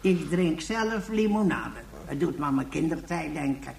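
A polite old character in a radio drama. The voice is low for a woman and gravelly, swinging up and down as it explains things, in an echoey space. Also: expressive, moderate pace, a little mellow, full character voice. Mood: reflective. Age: older. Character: courteous, knowledgeable elderly person, leisurely storyteller. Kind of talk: radio play acting. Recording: echoey room sound.

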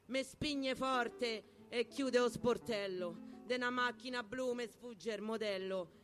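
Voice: medium pitch, slow pace, fairly bright tone. A performer with a Roman accent giving a theatrical, emphatic spoken-word recital of rhymed verse over guitar music. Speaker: poetry performer.

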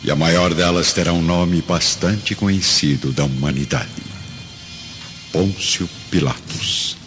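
A Brazilian man narrating a radio drama in a grave, measured and portentous manner. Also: low voice, steady tone, fairly bright tone. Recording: long reverb, dull, faint music.

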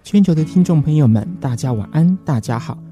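Very mellow Taiwanese male night DJ. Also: on the high side, expressive, brisk. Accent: Taiwanese Mandarin. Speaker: late-night radio DJ, host. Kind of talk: scripted late-night radio presenting. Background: soft guitar music.

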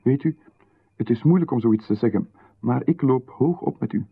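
A man with a Flemish accent, playing a calm, insistent stranger in a radio drama who seems to know far too much. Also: medium pitch, normal speed, gravelly, slightly rough. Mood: insinuating. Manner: calm, insistent, coolly overfamiliar. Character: calm, knowing male stranger who watches closely. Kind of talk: 1990s radio drama acting. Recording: narrow, phone-like radio broadcast.

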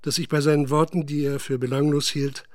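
A man narrating a radio play in a measured, matter-of-fact literary style. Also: fairly high pitch, flat delivery, lightly acted. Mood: measured, sober. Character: sober male narrator recounting a village scandal.

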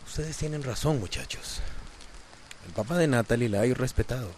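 A man's voice: mid-range voice, animated, fairly quick, a little mellow, a little hiss or hum, close to an everyday voice. An actor playing a worried, firm middle-aged father with a Costa Rican accent in a radio drama. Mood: reassuring, urging.

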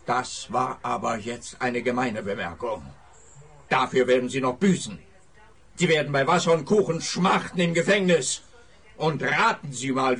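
A pompous, bumbling police officer in a comic radio drama. The voice is rough and husky at a middle pitch. He talks fussily, pleased with himself and none too bright. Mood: offended. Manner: fussy, pompous. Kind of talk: comic radio play acting.

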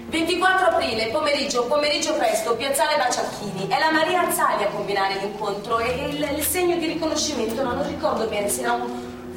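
Brief: actress playing a determined young partisan woman, high voice, recounting in pieces, caught by a distant mic in an echoey theatre with noise. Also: lightly acted. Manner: reflective storytelling, halting recollection. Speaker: stage actress. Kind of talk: live stage drama. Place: theatre stage.

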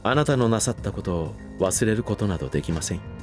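A loyal manservant, acted by a man, speaks in a lowish voice with strongly varied intonation. He is formal and coldly courteous, distrust edging his politeness, in a voice drama over faint music. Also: lively intonation, quick pace, close to an everyday voice. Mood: resentful. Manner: formal, clipped servant politeness with barbed edge. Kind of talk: Japanese voice drama acting.

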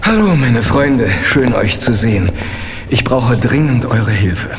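Worried senator, deep reverberant hall. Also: male voice, animated, a little slow. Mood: worried, explaining. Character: worried male senator, ex-intelligence official, friendly and earnest. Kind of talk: German audio drama acting. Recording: long hall reverb, music underneath. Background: clear background music.

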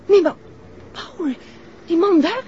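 An actress playing an observant, chatty detective's wife in a 1960s radio drama, speaking in an emphatic conversational way. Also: female voice, extremely high pitch, expressive, slow, heavily acted. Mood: excited, insistent. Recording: muffled, noisy old radio recording.

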